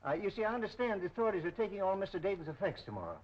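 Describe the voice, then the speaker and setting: An actor playing a nervous, evasive employee in a 1930s mystery film, stammering out excuses. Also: male voice, high-pitched, sing-song, racing, a little hiss or hum. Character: nervous, evasive male employee caught snooping. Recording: dull, lo-fi 1930s film soundtrack.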